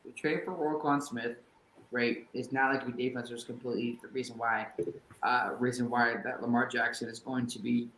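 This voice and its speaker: A man co-hosting a sports talk show, ranting with fired-up energy and breaking into shouts. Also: mid-range voice, level tone, moderate pace, roomy sound, a little hiss or hum, rough recording. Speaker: podcast co-host.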